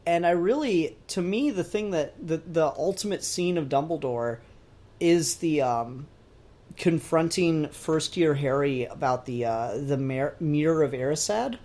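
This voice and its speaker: A podcast co-host with a medium-pitched voice talks in a casual discussion style. The delivery is informal and sweary, with animated emphasis on the points being made. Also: a little slow. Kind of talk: casual pop-culture discussion.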